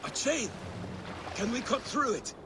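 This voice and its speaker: Casting: brash Viking warrior, scornful boaster, very high male pitch; game dialogue with river noise. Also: big pitch swings, unhurried, exaggerated voice. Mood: grudging. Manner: boastful, scoffing. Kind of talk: video game cutscene acting. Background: water and boat noise.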